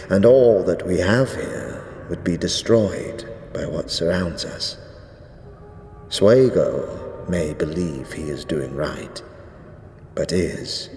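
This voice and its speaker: Deep, slow undead zealot priest in echoing hall. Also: male voice, darkish tone. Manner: archaic, grave, measured pronouncements. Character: solemn undead zealot priest, devout, stern guardian. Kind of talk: fantasy audio drama acting. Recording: echoey stone hall reverb, faint noise.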